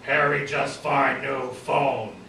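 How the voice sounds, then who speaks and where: Guy doing a big dumb hulk bully, yelling in caveman talk, roomy and far from the mic. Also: medium pitch, fairly even intonation, slow, a little hiss or hum. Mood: menacing. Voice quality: loud, shouted. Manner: clipped caveman-like speech, dropping words. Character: hulking dim-witted male super bully, bellowing, broken grammar.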